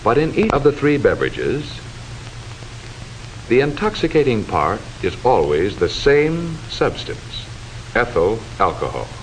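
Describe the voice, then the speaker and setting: A man delivering formal, measured, instructive scripted narration in the style of a 1940s educational film, on a hissy old soundtrack. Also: medium pitch, huge pitch swings, rough recording.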